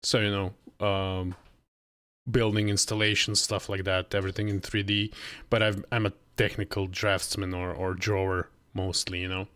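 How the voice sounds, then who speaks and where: A man's voice: deep voice, flat delivery, normal speed, fairly bright tone, clean tone. A man in his late thirties from Croatia, chatting casually and a little hesitantly on a livestream at his desk. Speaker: livestreamer learning game development. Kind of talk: casual livestream chat while working. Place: indoor room at a desk. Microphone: close desk microphone on an arm.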